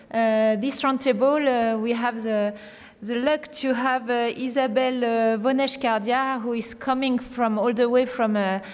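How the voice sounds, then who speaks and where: A woman opening a conference round table and introducing its moderator, hesitant and full of fillers. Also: on the high side. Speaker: conference host introducing the panel. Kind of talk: formal opening remarks. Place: large conference hall. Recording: echoey hall with long reverb.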